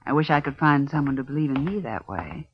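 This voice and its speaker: Sly, expressive radio femme fatale. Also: female voice, rumbling low, fast talker. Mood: guarded, sly. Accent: American. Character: cool, flirtatious gangster's wife, sly femme fatale. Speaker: radio drama actress. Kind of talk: 1940s-50s radio drama acting. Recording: dull vintage radio, faint noise.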